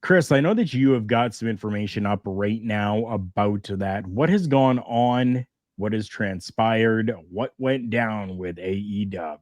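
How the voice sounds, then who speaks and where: Slow-talking man hosting a podcast. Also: on the low side, darkish tone, no echo. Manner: easygoing, with fillers and restarts. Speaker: podcast host. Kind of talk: casual wrestling podcast chat.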